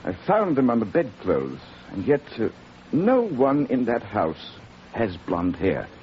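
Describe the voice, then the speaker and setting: A dull, faintly hissy vintage radio recording presents an earnest male doctor. He sounds worried and proper, pausing and hesitating as he gives his careful account. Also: mid-range voice, wildly swooping pitch. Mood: grave, urgent. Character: earnest, worried male country physician seeking help. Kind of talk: 1940s radio drama acting.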